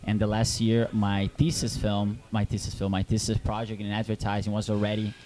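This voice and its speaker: A man's voice: lowish voice, completely flat delivery, fairly quick, background noise. A young Brazilian man with a Brazilian Portuguese accent, a film director answering interview questions, hesitant with many fillers and restarts.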